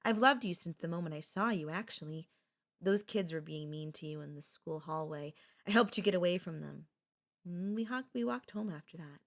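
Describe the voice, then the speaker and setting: Woman acting a low-voiced obsessive yandere sweetheart. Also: moderate pace, vocal fry. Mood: tender, confessional. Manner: soft-spoken, intimate, pleading, clingy. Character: obsessive, possessive young woman, kidnapper and doting childhood friend. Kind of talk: ASMR audio roleplay.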